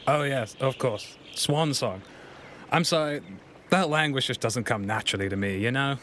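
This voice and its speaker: A man's voice: medium pitch, normal speed, a bit bright, a little hiss or hum, close to an everyday voice. A young man playing a smug, needling duck brother in an audio drama, with a mocking, slangy way of talking.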